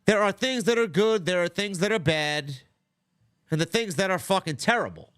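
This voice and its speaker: A man's voice: high voice, expressive, moderate pace, fairly bright tone, smooth, no echo, studio quality. A man from New York hosting a casual watch-along livestream, blunt and profane, sounding stuffy and nasal from congestion. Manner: blunt, swears a lot, restarts often. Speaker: livestream host.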